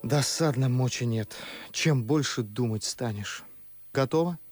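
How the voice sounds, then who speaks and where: An actor playing a disillusioned young gentleman in a radio verse comedy, declaiming with bitter, reflective irony. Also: medium pitch, unhurried, close to an everyday voice. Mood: brooding, disillusioned. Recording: music under the voice. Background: music.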